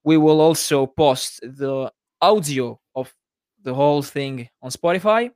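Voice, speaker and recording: Higher-voiced man hosting a podcast. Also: measured pace, darkish tone, smooth, no echo, clean studio sound. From Italy. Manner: non-native English, hesitant with many uh fillers. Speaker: podcast host. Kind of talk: casual chat.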